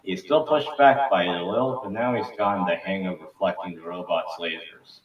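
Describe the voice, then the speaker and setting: A man's voice: low-pitched, moderate pace, dull audio. A man reading scripted narration as the narrator of an amateur table read. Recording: roomy, distant mic with faint noise.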